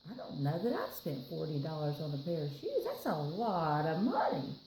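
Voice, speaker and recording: A woman with a Southern US accent reading a picture book aloud to children, with playful, expressive, chatty asides. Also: sits low, moderate pace, reverberant, slight background noise, poor audio. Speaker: children's storytime reader.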